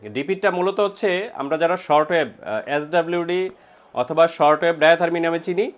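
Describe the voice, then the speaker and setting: A man with a Bangladeshi accent giving an informal, explanatory product demonstration and sales pitch, pausing and repeating phrases as he goes. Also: phone-quality sound. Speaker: medical equipment seller and presenter.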